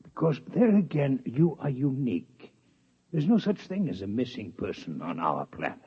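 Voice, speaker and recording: An actor playing a courteous, coolly curious six-legged alien scientist, in formal, precise 1950s radio drama style, on a dull old broadcast recording. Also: male voice, medium pitch, big pitch swings, normal speed, mellow, husky. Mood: inquisitive. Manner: formal, precise, politely detached. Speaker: alien visitor.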